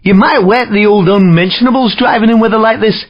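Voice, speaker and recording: A woman's voice: medium pitch, fairly quick, muffled recording, natural voice. A woman playing a chatty, cheeky working-class Londoner with a London accent, jokey and slangy, in a video game. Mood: cheeky, chirpy. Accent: London English. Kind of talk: scripted video game announcer lines.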